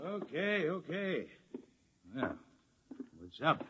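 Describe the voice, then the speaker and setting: An actor playing a rough, slangy, hot-headed spaceship crewman in a 1950s radio drama, with folksy, ungrammatical tough talk. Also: fairly high pitch, big pitch swings, takes it slowly, pushed and bright, full character voice. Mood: startled, uneasy. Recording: muffled, noisy 1950s radio recording.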